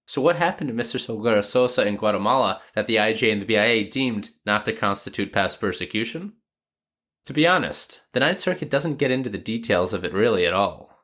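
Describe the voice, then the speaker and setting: A man's voice: on the low side, animated, a little fast, a bit bright. A man with a slightly nasal voice from a cold, hosting a legal podcast in scripted narration with casual asides. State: has a cold. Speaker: podcast host; lawyer.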